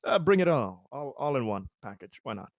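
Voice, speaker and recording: A man's voice: medium pitch, very animated, fairly quick, vocal fry, no room sound. A man playing in a tabletop game, chatting casually, stammering and laughing easily. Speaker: player.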